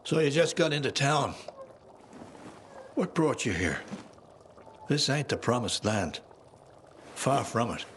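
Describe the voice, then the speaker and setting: An actor playing a cynical, world-weary middle-aged ex-soldier in a game cutscene. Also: male voice, mid-range voice, big pitch swings, takes it slowly, pauses often, slight background noise. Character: jaded, gruff middle-aged male ex-soldier. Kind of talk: video game cutscene voice acting.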